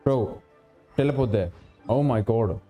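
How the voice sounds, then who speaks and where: Give me a male gaming co-host with a mid-pitched, very dark, muted tone and offhand, slangy banter. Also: a little slow, faint music behind. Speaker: game streamer's co-host. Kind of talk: casual gameplay banter.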